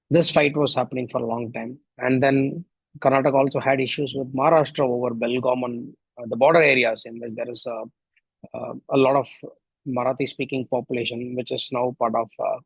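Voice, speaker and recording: Indian guy in his 40s-ish on a podcast, gravelly, a bit husky, rambling opinion talk, lots of right?. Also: mid-range voice, no echo, poor audio. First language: Tamil. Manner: reflective, rhetorical questions, frequent "right?". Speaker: podcast host. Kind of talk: solo opinion monologue.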